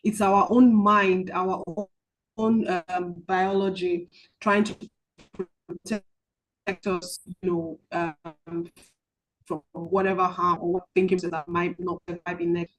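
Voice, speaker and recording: A woman coaching a group on money mindset over a video call. She talks haltingly with many ums and restarts, and slows into soft guided-relaxation instructions. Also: fairly low pitch, flat delivery, poor audio. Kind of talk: online group coaching session with guided visualization.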